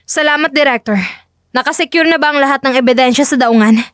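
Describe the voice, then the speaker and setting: A firm, cool female secret agent acted out by a woman in an audio drama. Her high voice is curt and dismissive, recorded very dry. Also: quick pace, a bit bright, no room sound. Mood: cool, businesslike. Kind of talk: dramatic audio story acting.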